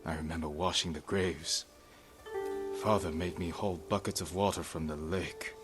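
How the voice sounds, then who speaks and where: Male samurai lead, played by an actor, earnest and stoic, lower register, measured delivery for dramatic game scenes. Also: steady tone, loud music behind the voice, natural voice. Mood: focused, serious. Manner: measured, terse, serious. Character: stoic grown samurai lord, earnest, quietly caring. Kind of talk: restrained dramatic video game acting.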